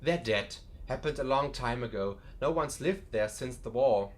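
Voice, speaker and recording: A man's voice: mid-range voice, expressive, moderate pace, natural voice. A man playing a genial, wry museum curator in an audiobook drama, chatty and dryly joking with a macabre humour. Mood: matter-of-fact.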